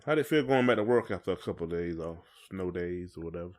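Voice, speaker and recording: A man's voice: low voice, lively intonation, fast talker. A man with a Southern US accent co-hosting a podcast, chatting casually and colloquially with playful teasing. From United States. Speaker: podcast co-host. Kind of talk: casual couple's podcast chat.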